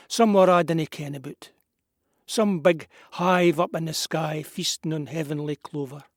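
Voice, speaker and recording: A man playing a frail, grieving old Scottish beekeeper, gruff but whimsical, musing in broad dialect in an audio drama. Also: fairly high pitch, no echo, studio quality. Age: older. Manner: musing, rambling, dialect-rich.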